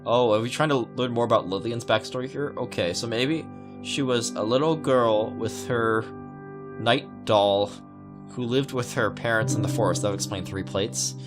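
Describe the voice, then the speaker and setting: A man reading a visual novel aloud in a casual, halting way, dropping in his own asides. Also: mid-range voice, over music.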